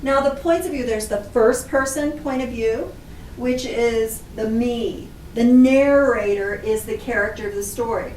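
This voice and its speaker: Casting: female class instructor, high voice, explanatory delivery, picked up from a distance in a roomy space. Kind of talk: instructional lesson to children, explanatory. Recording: echoey room, distant mic, faint noise.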